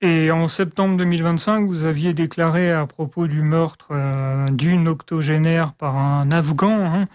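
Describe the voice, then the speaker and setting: A woman speaks as an interview host in a mid-pitched voice. She works through prepared questions haltingly, often hesitating between phrases. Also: fairly even intonation, a little mellow.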